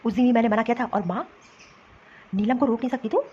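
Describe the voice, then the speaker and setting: A woman's voice: on the high side, fast talker, a little mellow, breathy edge. Woman playing an earnest young husband, dull lo-fi sound. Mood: outraged. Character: earnest young husband, torn between wife and family. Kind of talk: Hindi family-drama cartoon dialogue. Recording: dull lo-fi sound, faint noise.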